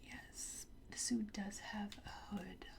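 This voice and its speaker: A woman whispering softly and breathily in an ASMR roleplay, playing a courteous, instructive fitting attendant. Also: mid-range voice, little pitch movement, slow pace, gravelly. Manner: soft, polite, instructive. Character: courteous attendant at a time travel suit fitting.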